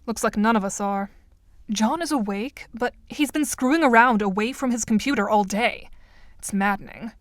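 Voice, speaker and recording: Woman playing a composed, high-voiced teenage girl. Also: close to an everyday voice. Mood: dry concern. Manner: dry, measured, cautious. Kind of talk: audio drama dialogue.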